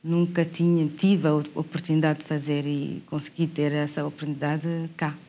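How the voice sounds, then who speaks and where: Woman, young adult, low voice, soft-spoken, short answers, actress guest. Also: flat delivery, normal speed. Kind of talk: interview answers. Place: TV studio set.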